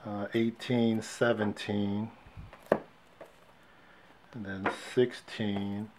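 Slow, low-voiced man listing comics. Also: flat delivery, background noise. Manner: unhurried, listing issue numbers one by one. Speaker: comic book collector showing his haul. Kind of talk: casual show-and-tell vlog. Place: indoors at a wooden shelf display. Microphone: fixed camera, hands only in frame.

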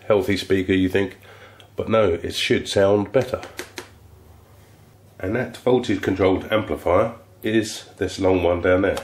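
A man with a stuffy head cold, explaining an amplifier repair in a relaxed, rambling workshop tutorial. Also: deep voice. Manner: relaxed, rambling, restarts phrases. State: congested from a cold. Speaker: electronics repair technician. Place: electronics workbench, indoors.

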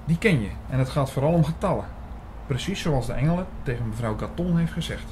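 A man playing a puzzled, suspicious investigator who narrates his story in a measured, reflective way in an audio drama. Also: mid-range voice, expressive, noisy background, close to an everyday voice. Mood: explaining. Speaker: narrator and lead actor.

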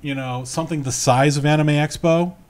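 A man's voice: on the high side, steady tone, fairly quick, a little mellow. A man with an American accent chatting casually as a podcast co-host, close to a microphone in a small room at home. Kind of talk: casual chat.

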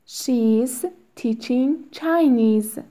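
A woman reading short English phrases aloud one at a time, with long pauses for learners to repeat. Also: on the high side, mellow. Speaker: English teacher. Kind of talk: slow read-aloud drill for learners.

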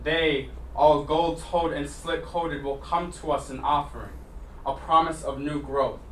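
A man with a high voice recites slam poetry in a dramatic, deliberate manner. He sets phrases apart with emphatic pauses. The recording is distant and echoey, as from a live poetry event. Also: steady tone. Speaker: spoken word poet. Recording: roomy, distant mic, faint noise.

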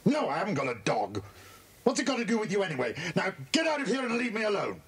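An actor playing a hostile, bullying hotel guest with a gruff, snappish British manner of speech in a children's audio drama. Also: male voice, high-pitched, huge pitch swings, quick pace, a bit bright, full character voice. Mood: irritated, hostile.

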